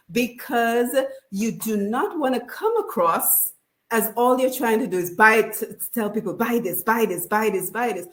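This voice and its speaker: A woman hosting a radio panel discussion in a conversational way, often checking in with "right?". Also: on the high side. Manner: conversational, frequent "right?" tags. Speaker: radio show host. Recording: roomy echo.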